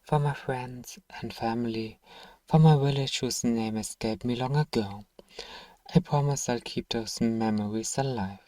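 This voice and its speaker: A man voicing a thousand-year-old vampire, nostalgic, tender and sorrowful, in a soft, measured ASMR role-play delivery that dips toward whispers. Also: mid-range voice, no room sound, distant mic, lightly acted. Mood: mournful, resolute.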